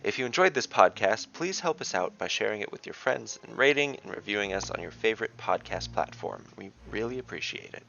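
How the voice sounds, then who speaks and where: Low, gravelly male fantasy narrator. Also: animated, fairly quick, hardly any pauses, bright, forceful tone, lo-fi sound. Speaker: narrator and game master. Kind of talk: scripted fantasy story narration.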